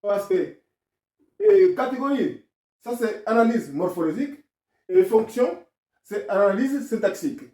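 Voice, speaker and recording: A man's voice: very high voice, sing-song, unhurried, long pauses, dark tone, roomy sound, distant mic. A man with a non-native French accent explains grammar step by step in a video lesson. He talks in an insistent, argumentative way. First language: not French. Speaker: self-taught grammar commentator.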